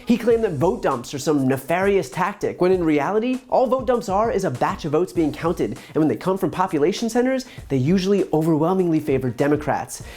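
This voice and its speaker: A man's voice: a little high, very animated, fairly quick, hardly any pauses, a little mellow, soft background music. A man in his thirties with an American accent, giving scripted political commentary to camera in an emphatic way with sarcastic asides, on a lapel mic. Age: 30s.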